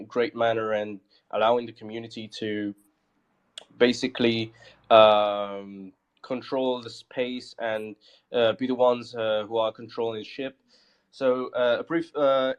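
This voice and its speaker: Male project founder, casual and halting, mid-range voice, dull lo-fi call sound. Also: medium pitch. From Morocco. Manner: halting, many fillers and restarts. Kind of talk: casual live audio chat.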